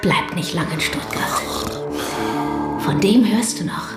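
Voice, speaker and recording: An actress in a radio play playing an assured, conspiratorial woman comrade with a hushed, curt, deliberate delivery. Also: mid-range voice, animated. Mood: insistent. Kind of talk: German radio play dialogue. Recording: roomy sound under loud music. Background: music.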